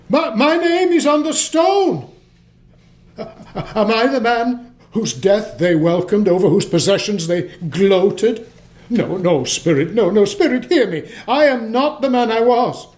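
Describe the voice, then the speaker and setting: An actor playing an excitable old miser in a radio play, stammering and exclaiming theatrically. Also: male voice, high voice. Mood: desperate pleading. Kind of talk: stage-like radio play acting.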